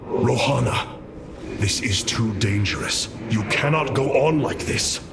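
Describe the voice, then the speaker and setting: A man's voice: mid-range voice, animated, a little slow, very noisy, natural voice. A man playing a noble, resolute alien warrior leader in a game cutscene, with stately, earnest and formal speech. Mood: concerned. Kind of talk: dramatic game cutscene acting. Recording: loud music behind the voice.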